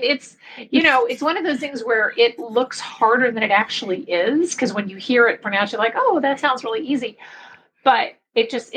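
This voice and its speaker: A middle-aged woman from Belgium chatting casually as an interview guest, her voice slightly husky. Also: a little high, a bit bright, a little hiss or hum, low-quality recording. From grew up in Belgium. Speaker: podcast guest, publishing expert.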